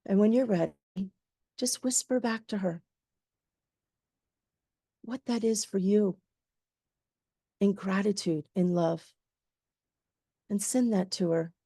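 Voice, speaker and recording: A woman leads a guided meditation in gentle, lulling phrases. Her voice is warm and mellow at a middle pitch, and she speaks very slowly. The sound is close and dry, with no room in it. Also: no echo.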